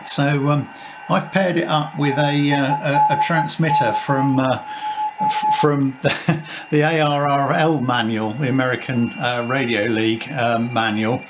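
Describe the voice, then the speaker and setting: Man, medium pitch, hobbyist demonstrator, informal, halting, self-correcting, muffled recording with noticeable background noise. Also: fairly even intonation. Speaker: amateur radio hobbyist demonstrating equipment. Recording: dull sound with loud background noise.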